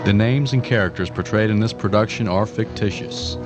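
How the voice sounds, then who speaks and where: A man's voice: fairly low pitch, brisk, muffled recording, over music. A man reading scripted closing credits as a radio announcer.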